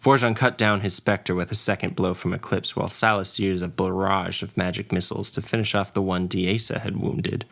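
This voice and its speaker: A man's voice: sits low, fairly even intonation, fairly quick, gravelly, few pauses, a bit bright. A man narrating a scripted fantasy adventure story as its dungeon master and storyteller. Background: faint ambience and music.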